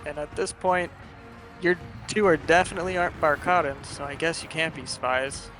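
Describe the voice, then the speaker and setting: Guy doing a bumbling tired town guard for a fantasy game, kinda high voice, flustered, faint music behind. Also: moderate pace, fairly bright tone, natural voice. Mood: smug, slow-witted. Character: bumbling, weary male town guard, easily cowed.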